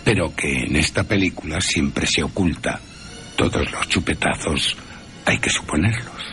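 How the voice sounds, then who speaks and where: A man's voice: a bass voice, unhurried, bright, forceful tone, soft background music. A man with an accent from Spain narrating a story for radio in a wry, theatrical, dramatic manner. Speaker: narrator and presenter.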